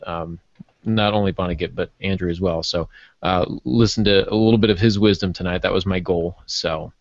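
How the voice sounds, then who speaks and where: A man with a fairly low voice talks casually on a podcast panel. He rambles a little, circling back and correcting himself. The sound is dry and somewhat dull, with little room in it. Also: no echo. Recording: muffled, dull lo-fi audio.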